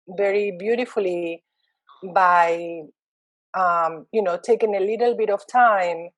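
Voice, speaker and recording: A middle-aged woman speaks in English in a reflective, unhurried way, pausing on ums and restarting phrases as she thinks. Her voice sits at a medium pitch. The recording is dry and close, with no room sound. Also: no echo. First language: Spanish. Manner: thoughtful, frequent ums and restarts. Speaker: university Spanish lecturer. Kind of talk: reflective interview talk.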